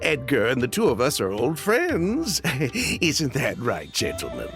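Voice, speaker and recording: An actor playing a sly, jovial elder-brother merchant in a video game, smooth-talking and falsely hearty. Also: male voice, medium pitch, huge pitch swings, takes it slowly, a bit bright, soft background music, full character voice.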